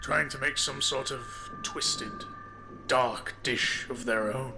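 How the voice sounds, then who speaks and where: A man playing a wry, probing, quietly threatening lawman in a noir audio drama. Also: mid-range voice, fairly even intonation, takes it slowly, music in the background.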